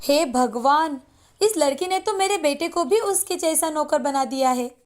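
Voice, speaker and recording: A woman's voice: sits high, lively intonation, a little slow. A woman voicing a doting, class-proud wealthy mother of a grown son in a cartoon story, with an emotional, exclaiming manner. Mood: disapproving. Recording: music bed behind the voice.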